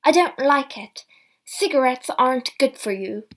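A woman's voice: high voice, expressive, measured pace, heavily acted. A woman voicing a furious fifty-year-old mother. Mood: angry scolding. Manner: loud, scolding, bitter. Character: indignant fifty-year-old mother, angry about money.

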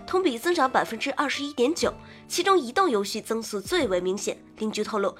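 A woman host reading scripted game news in an expressive presenter's manner, slipping in wry, playful asides. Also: on the high side. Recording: music under the voice. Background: background music.

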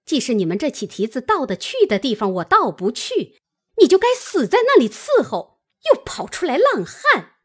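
A woman's voice: very animated, a little slow, few pauses, exaggerated voice. A woman voicing a coarse, bitter servant mother in a storytelling reading, scolding harshly. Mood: furious cursing. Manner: cursing, shrill scolding. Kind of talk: dramatised classic novel storytelling.

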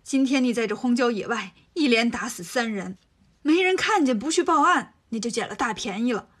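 A woman voicing a gullible, soft-hearted male monk who lectures his disciple. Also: on the high side, expressive, fairly quick. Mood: scolding. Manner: preachy, naive, scolding.

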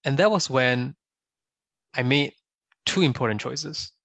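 Gravelly-voiced young man. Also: medium pitch, fairly bright tone, no room sound. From Singapore. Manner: thoughtful, measured, often adds a questioning "right?". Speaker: podcast guest. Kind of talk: casual interview answers.

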